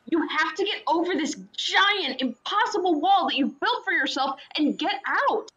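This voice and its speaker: A woman's voice: very high-pitched, expressive, normal speed, sharp tone, muffled recording, exaggerated voice. A woman playing a caring but blunt sister in a radio play, teasing and insistent with her brother. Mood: insistent, supportive.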